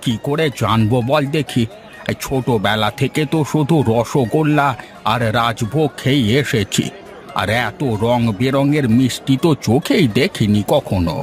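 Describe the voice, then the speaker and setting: A man's voice: medium pitch, a little slow, close to an everyday voice. A man voicing a good-natured village uncle in a cartoon, speaking in a homely, placating, folksy way. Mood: genial, curious. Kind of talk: cartoon voice acting. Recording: faint music behind the voice.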